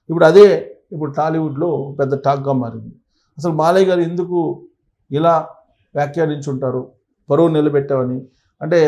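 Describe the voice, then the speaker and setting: A man's voice: a little high, a little mellow. A man presents conversational news commentary and analysis, stressing points emphatically and repeating phrases. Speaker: presenter of a film news channel.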